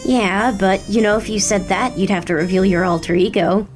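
A woman's voice: mid-range voice, normal speed, over music, natural voice. A woman acting in an audio drama as a hero's supportive, teasing young best friend, casual, reassuring and playful.

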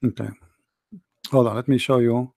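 A man's voice: mid-range voice, fairly even intonation, normal speed, creaky, darkish tone, no echo, studio quality. A man from the Middle East, a native Arabic speaker, hosting a religious debate and questioning a caller sarcastically. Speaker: host.

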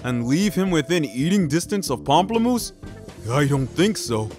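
Man playing an eccentric landlord, swinging pitch. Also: animated, moderate pace, darkish tone, soft background music, lightly acted. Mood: put-upon. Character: eccentric, chirpy male landlord, naively earnest. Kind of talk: scripted audio story dialogue.

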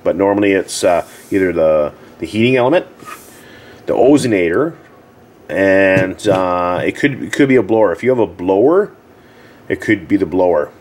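A man giving a casual, unscripted how-to as a repair technician, plain-spoken and halting, often repeating himself. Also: low-pitched, lively intonation, a little mellow. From Canada. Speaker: hot tub repair technician giving a tutorial. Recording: clearly audible background noise.

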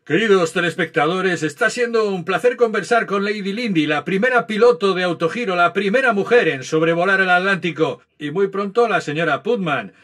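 A man's voice: high-pitched, brisk, fairly bright tone. A man playing a chatty, teasing 1930s broadcast interviewer in an audio drama, with flowery presenter-like delivery. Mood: effusive. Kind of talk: scripted audio drama acting.

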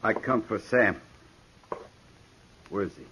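An actor playing a crazy, single-minded hired gunman with rough, blunt speech in a 1950s radio western. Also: on the low side, slow pace, sharp tone, a little hiss or hum. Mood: menacing. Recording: dull 1950s radio recording.

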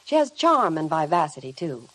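A female American radio reporter in the 1950 style, gushing and promotional. Her voice is lowish and husky, with animated intonation. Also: moderate pace, dry recording. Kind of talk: scripted 1950 radio ad and movie news.

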